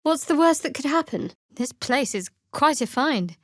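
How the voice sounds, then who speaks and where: An actress playing a guarded, wry young female cleric in a fantasy video game, speaking in a cool, dry, measured way. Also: high-pitched, lively intonation, fairly bright tone, dry recording. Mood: dry, teasing. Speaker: video game companion character. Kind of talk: scripted fantasy game voice acting.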